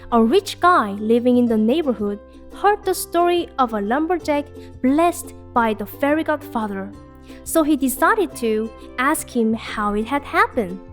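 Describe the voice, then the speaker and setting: A woman narrating a scripted fairy tale for children as a storyteller. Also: on the high side.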